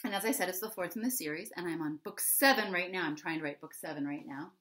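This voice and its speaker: Lower-pitched middle-aged woman, expressive children's storyteller. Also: quick pace. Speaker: children's author reading her book and giving a writing prompt. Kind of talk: read-aloud and explaining to young children. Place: small indoor home room.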